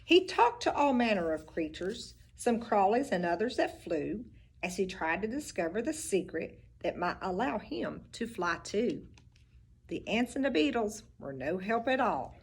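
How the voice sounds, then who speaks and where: A teacher reading a picture book aloud, with expressive storybook delivery and little asides about the pictures. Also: female voice, mid-range voice, measured pace, slight background noise.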